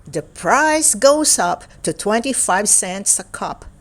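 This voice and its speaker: Slow-paced woman reading a children's storybook. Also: mid-range voice, very animated. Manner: deliberate, short phrases with playful exclamations. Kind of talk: read-aloud storytelling for young children.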